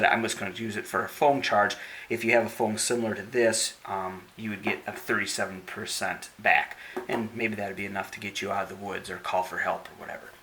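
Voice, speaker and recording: A man's voice: on the low side, a bit bright. A man giving a casual hands-on product review, explaining each step methodically and checking in with the listener as he goes.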